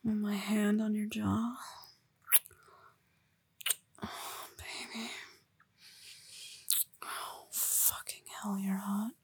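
A woman murmurs in an intimate, whispered roleplay, her voice husky and airy with a gravelly edge. She takes her time, speaking slowly and softly. The recording is close and dry, with no room sound around her. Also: little pitch movement, dry recording. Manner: soft breathy whispering, close to the listener; low murmured coaxing with sighs. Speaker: audio roleplay performer.

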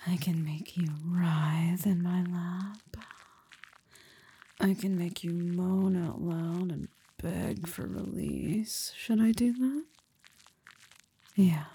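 A woman whispering a slow, teasing roleplay close to the mic, with a breathy voice. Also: on the low side, dark tone.

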